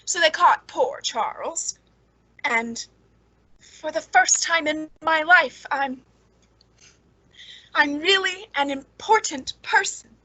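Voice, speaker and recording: Actress as a high, fluttery, lonely radio-suspense woman. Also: takes it slowly, pauses often, sharp tone, dry recording, exaggerated voice, rough recording. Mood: wistful, elated. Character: lonely, fluttery woman, eager and nervously polite. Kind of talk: old-time radio suspense acting.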